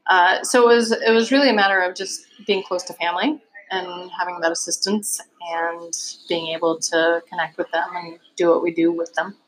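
A woman's voice: on the low side, fairly even intonation, moderate pace, hardly any pauses, a little hiss or hum, low-quality recording. A woman in her thirties from Virginia, a vegetable farmer, chatting as an interview guest with many ums. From Virginia, USA. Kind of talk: casual interview chat.

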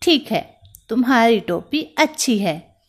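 A calm storybook king, as a woman reads him, plain and steady, with a faint amused ease. The voice stays slow and unhurried, with some lift in the pitch. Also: full character voice. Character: calm grown man, a king.

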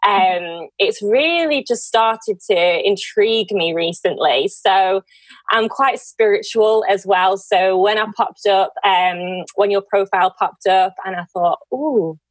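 A woman's voice: mid-range voice, slow, no echo, poor audio. A woman hosting a podcast in a casual, conversational way, effusive and encouraging. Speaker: podcast host.

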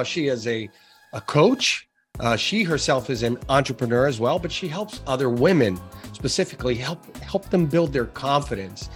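Guy hosting a podcast, chatty and upbeat, faint music in the back. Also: mid-range voice. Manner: upbeat, conversational with fillers. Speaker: podcast host. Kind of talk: podcast introduction and interview.

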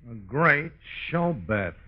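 Radio comedy part: shady American tout, laconic, deadpan, very slow, very noisy old recording. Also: male voice, on the high side, dull audio. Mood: sly, deadpan. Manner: deadpan, clipped phrases. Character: shady, laconic male racetrack tout with unflappable secretive air. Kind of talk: 1940s-50s American radio comedy acting.

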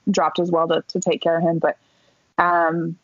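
A woman's voice: lowish voice, moderate pace, no echo. Chatty woman telling a story, dull dry sound. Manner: animated, chatty, many fillers and swear words. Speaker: podcast guest. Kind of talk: casual storytelling. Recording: dull dry podcast recording.

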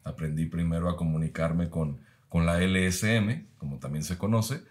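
A man, speaking as a podcast guest in a measured, reflective way with frequent pauses. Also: low voice, darkish tone. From Mexico. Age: 30s. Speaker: guest, university professor.

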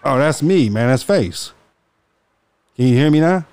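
A man co-hosts an amateur radio show with a lively voice that swings up and down. He drawls through casual, profane banter and often repeats himself. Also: medium pitch, measured pace, clear, resonant tone. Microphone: studio mic with headphones.

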